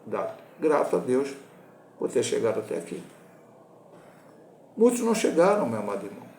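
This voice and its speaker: Gravelly Brazilian pastor in his sixties. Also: mid-range voice, very animated, darkish tone, echoey room, slight background noise. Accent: Brazilian Portuguese. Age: 60s. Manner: halting, with restarts and self-corrections. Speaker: pastor teaching a Bible lesson. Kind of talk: Bible study teaching.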